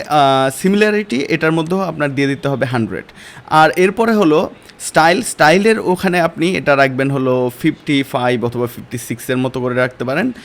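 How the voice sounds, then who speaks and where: A man with a Bangladeshi accent teaching a step-by-step software lesson in informal, colloquial speech. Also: a little high. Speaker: online course instructor.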